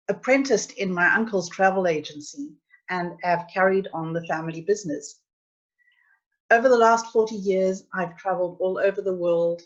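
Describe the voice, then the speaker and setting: A middle-aged woman with a South African accent presents a travel webinar over a computer mic on a video call. Her voice sits a little low, and the audio sounds dull and lo-fi. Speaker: travel agency owner hosting a webinar.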